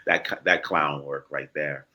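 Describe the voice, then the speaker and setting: Deep, raspy man, earnest. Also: bright, forceful tone. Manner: earnest, deliberate phrasing. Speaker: actor, show guest. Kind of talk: reflective, encouraging talk.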